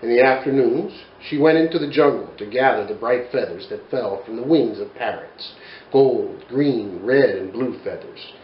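A man's voice: mid-range voice, moderate pace, echoey room, dull audio, slight background noise. A man in his forties reading a children's picture book aloud in a bookshelf-lined study, telling it expressively. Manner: expressive, animated storytelling. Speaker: storyteller.

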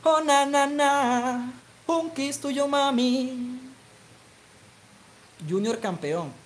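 A young man from Colombia's Caribbean coast with a coastal Colombian accent, casually chatting with viewers and calling a football video game match, his voice climbing when he shouts goal calls. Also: very high-pitched, extremely slow, a little hiss or hum. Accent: Colombian Caribbean coastal Spanish. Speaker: gaming streamer. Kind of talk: live football game commentary and chat.

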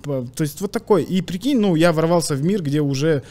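A young man on a studio podcast mic, telling stories with animated energy. His voice sits a bit high for a man and swings from loud bursts to quiet, low asides. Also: fairly quick. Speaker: comedian guest. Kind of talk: casual podcast chat. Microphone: studio mic with headphones.

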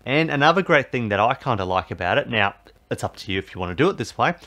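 A man explains a TV box reset in a loose, rambling way. His voice sits at an ordinary middle pitch, and he keeps circling back with fillers and restarts. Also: expressive, quick pace, sharp tone. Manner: rambling, filler-heavy, repeats "basically" and "obviously". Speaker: tech tutorial host. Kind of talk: casual how-to voice-over.

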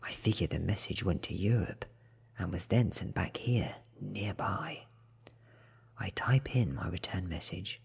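Male ASMR reader, thirties: low, very breathy, soft-spoken and deliberate narration. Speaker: audiobook reader. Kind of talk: soft-spoken ASMR book reading, partly whispered.

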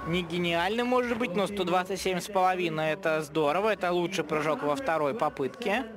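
A man giving live sports commentary in a relaxed, conversational way, often pausing to think aloud. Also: high-pitched, crowd noise.

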